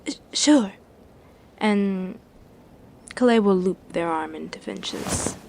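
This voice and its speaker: Slow-spoken, hesitant woman playing tabletop fantasy. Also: mid-range voice, creaky, noisy background. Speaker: tabletop game player. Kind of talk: casual roleplay in a fantasy game.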